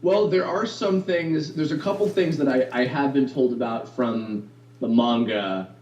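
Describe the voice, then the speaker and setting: A man who voices anime characters, talking in a casual interview. He rambles with many fillers and restarts and has a baritone voice. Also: a little slow, darkish tone. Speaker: voice actor guest. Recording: distant, roomy, dull lo-fi sound.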